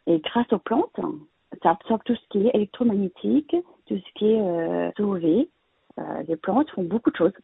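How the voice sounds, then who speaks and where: Woman, very raspy voice, gravelly fry, hesitant with fillers, expert guest, narrow phone-like audio. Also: medium pitch, lively intonation, moderate pace, no echo. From Vietnamese heritage, living in France. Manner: hesitant, many fillers and restarts. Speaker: interior plant decorator, guest expert. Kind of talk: conversational expert advice. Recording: dry, phone-like narrow sound.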